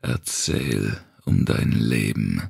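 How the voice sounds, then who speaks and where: A man voicing a menacing male captor in an audiobook, taunting in a rough whisper. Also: rumbling low, extremely slow, darkish tone, voice pushed down, full character voice. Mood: quietly menacing. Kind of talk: audiobook character dialogue.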